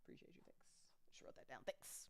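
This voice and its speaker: A woman hosting a casual livestream chat as an audio drama creator, animated and joking, with informal, sweary talk full of y'all. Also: quick pace, no room sound, in a whisper. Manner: informal speech with frequent y'all. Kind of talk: casual livestream chat answering audience questions.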